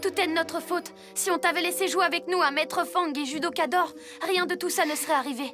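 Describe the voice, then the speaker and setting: Cartoon boy hero, about six: very high voice, brave, earnest, eager, loud music behind. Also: fairly even intonation, fairly quick. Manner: eager, shouting heroic catchphrases.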